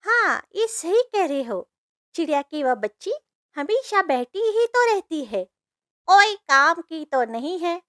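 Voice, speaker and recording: A woman's voice: extremely high pitch, very animated, takes it slowly, bright, forceful tone, no room sound, clean studio sound, pitched above the performer's own voice, full character voice. A woman voicing an alarmist, scheming forest bird in a cartoon story, urgent, exclamatory and persuasive in delivery. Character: squeaky, alarmist forest birds, scheming and pleading. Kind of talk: Hindi cartoon story voice acting.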